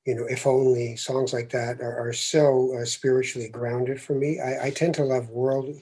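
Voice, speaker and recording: A man hosting a podcast interview, speaking reflectively and growing animated as he makes his points, with easy laughs. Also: mid-range voice, moderate pace, husky. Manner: thoughtful, animated when making a point, laughs easily. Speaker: podcast host.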